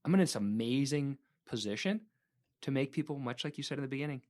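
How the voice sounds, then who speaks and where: A podcast host speaks in an earnest, reflective way at a middle pitch. He talks casually, with some "um" and "like". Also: lively intonation, fast talker, darkish tone.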